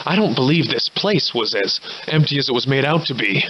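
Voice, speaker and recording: An actor playing a weary, wry Victorian gentleman adventurer in a video game, with florid, old-fashioned, formal diction. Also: mid-range voice, expressive, brisk, lo-fi sound, slight background noise, natural voice. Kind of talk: theatrical video game voice acting.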